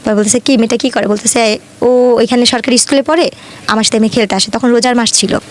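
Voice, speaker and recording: A woman's voice: on the high side, fairly even intonation, fast talker. A young woman with a Bangladeshi accent, telling her own life story conversationally in detail, often quoting dialogue. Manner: detailed retelling with frequent quoted dialogue.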